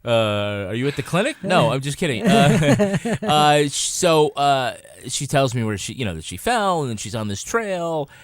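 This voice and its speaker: A man's voice: medium pitch, sing-song, normal speed, hardly any pauses, no room sound, clean studio sound. A middle-aged man co-hosting a podcast, chatting casually and acting out conversations as he tells stories. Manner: acts out quoted conversations. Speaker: podcast co-host. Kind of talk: casual chat, animated storytelling.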